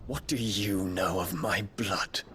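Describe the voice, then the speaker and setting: An actor playing a dutiful, troubled princely knight in a video game drama, formal and restrained. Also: male voice, low voice, steady tone, heavy background noise. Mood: restrained hurt. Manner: formal, measured.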